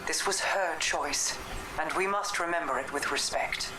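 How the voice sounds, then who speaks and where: A woman voicing a calm, commanding queen in a video game cutscene, speaking in a measured, formal way. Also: low voice. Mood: regal. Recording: echoey game audio with noise.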